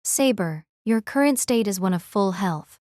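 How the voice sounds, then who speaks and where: A woman voicing an anxious noble young magus with a gentle, worried manner. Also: medium pitch, animated, moderate pace, dry recording, clean studio sound, close to an everyday voice.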